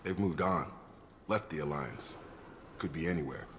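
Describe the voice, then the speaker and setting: A low-pitched American soldier, loyal and steady, talking in a matter-of-fact, informal way. It is game dialogue with clear background noise. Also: male voice, moderate pace. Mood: matter-of-fact, explaining. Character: steady, loyal male soldier and station security chief.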